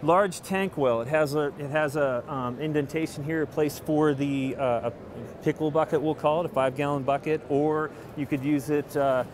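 A man's voice: on the high side, fairly even intonation, moderate pace. A man in his forties giving a casual, informal product walkthrough as a company representative, sometimes hesitating mid-phrase. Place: large indoor convention hall booth. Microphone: handheld interview microphone held by interviewer. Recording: noisy busy trade show floor.

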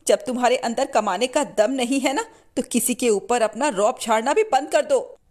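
A woman's voice: a little high, normal speed, darkish tone, close to an everyday voice. A woman voicing a long-suffering, outspoken poor mother in a cartoon story, earnest and plaintive. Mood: indignant.

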